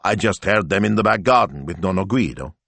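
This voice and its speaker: A man's voice: low-pitched, expressive, a little fast, gravelly, fairly bright tone, dry recording, heavily acted. A man voicing a cheerful male hotel owner who talks in an eager, reassuring way.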